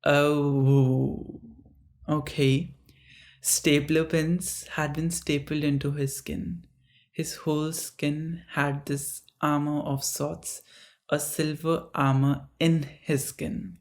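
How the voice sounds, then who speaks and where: A storyteller reads their own dark fiction aloud at an unhurried, deliberate pace. The reading stumbles and restarts now and then. It breaks off into casual, self-mocking asides between passages. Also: medium pitch, level tone. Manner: slow, halting reading broken by chatty asides.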